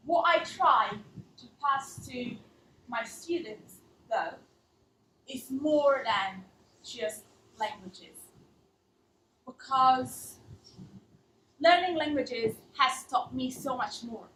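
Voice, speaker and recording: Woman, Italian accent, language teacher, measured delivery, bright tone, faint room ambience. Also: high-pitched, expressive, slight background noise. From Italy. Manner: measured, earnest, with small non-native slips. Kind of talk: storytelling talk to a live audience. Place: theatre stage. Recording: echoey hall, mic at a distance.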